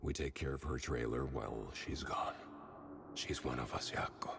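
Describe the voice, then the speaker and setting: Very deep gravelly backwoods man. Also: normal speed, a bit bright, slight background noise, heavily acted. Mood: quietly reassuring. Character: eccentric small-town backwoods man, deadpan, secretive cultist. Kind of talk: dramatic video game voice acting.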